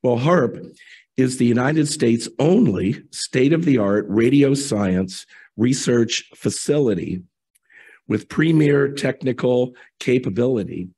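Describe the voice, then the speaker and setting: A middle-aged man, an electrical engineer, giving a conference presentation in a relaxed, conversational way, his voice hoarse from a head cold. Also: mid-range voice, slow pace. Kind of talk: technical conference talk.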